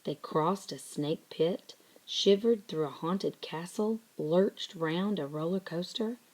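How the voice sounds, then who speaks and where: A woman narrating a children's picture book aloud in a deliberate, expressive way. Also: fairly low pitch, rough recording. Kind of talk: scripted children's story narration.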